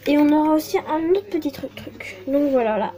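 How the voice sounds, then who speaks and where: A woman's voice: sits high, animated, moderate pace, mellow. A young woman chatting casually while unboxing toys at her desk, hesitant and full of fillers and apologies. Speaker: vlogger. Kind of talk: casual toy unboxing chat. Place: desk at home, indoors.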